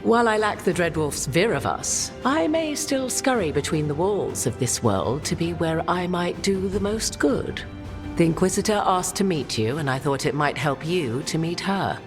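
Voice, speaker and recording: Haughty knowing fantasy game witch, arch formal talk, very lively pitch, loud music under it. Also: moderate pace. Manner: formal, arch, theatrical diction. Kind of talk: video game cutscene acting.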